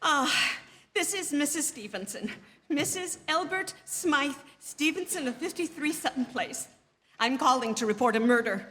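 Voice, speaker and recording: A woman's voice: high-pitched, lively intonation, normal speed, fairly bright tone. An actress playing a nervous, haughty bedridden wife in a radio suspense drama, agitated and stammering as she insists on being heard. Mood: frantic. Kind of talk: stage performance of classic radio suspense drama. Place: live theatre stage. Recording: long hall reverb from theatre stage.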